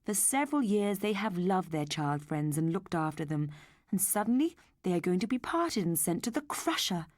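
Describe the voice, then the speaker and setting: A woman voicing a thoughtful, soft-hearted husband who speaks slowly and musingly. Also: fairly low pitch, big pitch swings, dry recording, clean studio sound. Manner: slow, musing.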